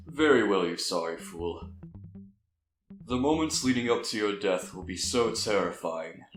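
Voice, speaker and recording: A man's voice: on the low side, animated, unhurried. A man playing an arrogant, suave and menacing vampire tyrant in an ASMR roleplay, talking in a theatrical, formal, mocking manner. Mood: threatening. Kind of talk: scripted ASMR villain roleplay. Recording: echoing voice over faint music.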